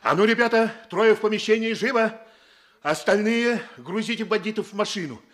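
An actor playing a brisk, fatherly police chief in a radio play, authoritative and expressive. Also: high-pitched. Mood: commanding. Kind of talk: Soviet radio play acting.

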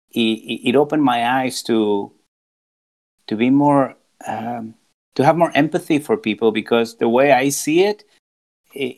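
Man, 40s, from Peru, podcast guest, earnest, reflective, restarts phrases. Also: medium pitch. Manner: earnest, reflective, frequent restarts and repeated words. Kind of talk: casual podcast conversation.

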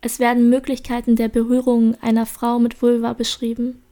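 A woman in a radio play calmly addressing the listener directly. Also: a little high, brisk. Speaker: performer in an audio play. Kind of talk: scripted educational radio play reading.

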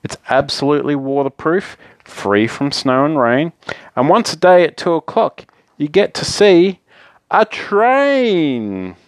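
A sneaky fox from a children's storybook, acted out by a man. He wheedles and persuades like a smooth salesman, his pitch lifted and his delivery coaxing. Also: moderate pace, dry recording, close to an everyday voice. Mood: eager salesman. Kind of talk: children's picture book character voice.

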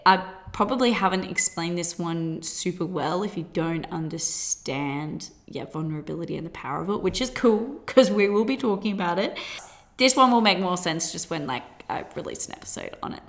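A woman in her twenties with an Australian accent, hosting a solo podcast in a bubbly, chatty way with quick laughs. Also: fairly low pitch, animated. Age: 20s. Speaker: podcast host.

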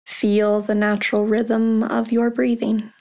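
Slow, gravelly, flat-voiced woman guiding meditation. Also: a little high. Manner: slow, soft and calm, with long pauses. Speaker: meditation guide. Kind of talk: guided meditation.

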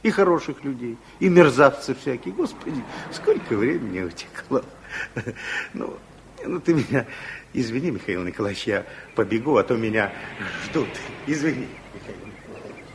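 An actor playing a chatty, folksy old retired plumber in a 1960s radio play, colloquial, exclamatory and deferential, with a creaky voice. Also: male voice, mid-range voice, big pitch swings, normal speed. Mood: evasive, musing. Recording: 1960s radio recording, faint noise.

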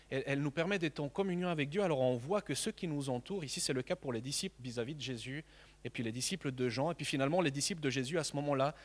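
A man in his thirties from Mexico, a native Spanish speaker, preaching a sermon in an earnest, halting way with many repeated words. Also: fairly high pitch, in a large hall.

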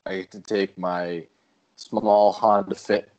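A man from Canada, a guest on a video call, telling relaxed stories and laughing often. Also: low-pitched, slow, lo-fi sound. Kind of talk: casual chat. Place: home in rural Japan.

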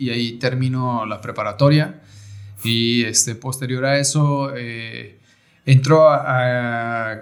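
Young man, podcast guest, loose and slangy, lots of fillers, mid-pitched. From Mexico (Baja California). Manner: relaxed storytelling with slang and many fillers. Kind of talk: casual conversation.

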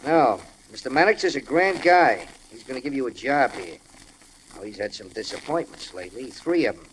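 A man's voice: medium pitch, lively intonation, normal speed, natural voice. Man acting a tough wisecracking ex-con butler. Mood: quietly menacing. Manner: slangy, blunt, needling tough talk. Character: tough wisecracking ex-convict butler, blunt and menacing. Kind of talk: 1940s radio acting. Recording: noisy old-time radio recording.

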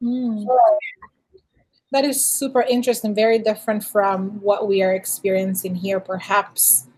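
A woman's voice: mid-range voice, level tone, a little slow, a little mellow, poor audio. A woman hosting an interview, asking long, rambling questions with many fillers. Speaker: podcast host.

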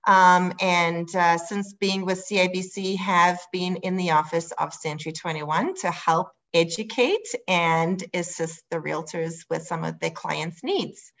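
A woman's voice: on the low side, fairly bright tone, dull audio. A middle-aged woman with a South African accent introducing herself as an interview guest in a gracious, upbeat, conversational way. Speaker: guest, mortgage advisor.

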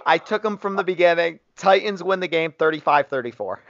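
A man's voice: fairly high pitch, animated, fairly quick, fairly bright tone. A man co-hosting a podcast, chatting casually and making sports predictions. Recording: dry, muffled lo-fi podcast audio.

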